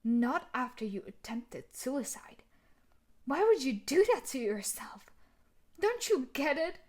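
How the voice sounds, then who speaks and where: A woman's voice: high-pitched, very animated, unhurried, exaggerated voice. A woman playing a tough, sadistic, possessive bully fiancée in a dramatic audio roleplay, scolding and emotionally intense. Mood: angry, possessive.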